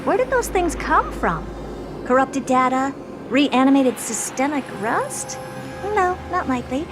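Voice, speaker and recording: Snooty genius scientist lady from a game, high voice, bossy n matter of fact, music behind. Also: sing-song, measured pace. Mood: dismissive, brisk. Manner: imperious, matter-of-fact explaining. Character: haughty, brilliant woman scientist, curt and dismissive. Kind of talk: English video game voice acting.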